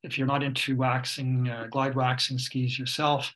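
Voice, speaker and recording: Older man, canadian accent, ski instructor, video call webinar, lots of uh and um, mid-pitched. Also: little pitch movement, moderate pace, a bit bright. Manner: explanatory, hesitant with many uh and um fillers. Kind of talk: webinar presentation over slides. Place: home room on a video call. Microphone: webcam or computer mic on a video call.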